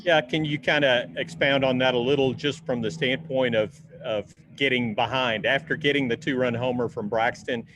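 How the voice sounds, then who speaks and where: A man's voice: medium pitch, steady tone, normal speed, few pauses, a bit bright, slight background noise, rough recording. A man working as a reporter, asking a long, rambling question with repeated phrases.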